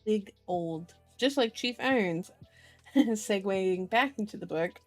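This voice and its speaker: A woman's voice: medium pitch, expressive, slow pace, faint music behind. A woman hosting an ASMR book reading, playful and chatty, mixing narration with casual asides. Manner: playful, chatty, strays from the text into comments. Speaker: host of an ASMR channel.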